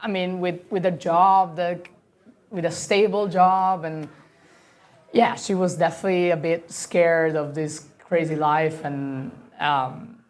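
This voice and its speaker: A woman with an Italian accent and a notably low voice chats in a relaxed interview. She laughs easily and slips into little acted-out quotes of what other people said. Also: slow pace. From Italy. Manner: chatty, laughs often, acts out quoted dialogue. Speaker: professional sailor guest. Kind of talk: casual interview conversation.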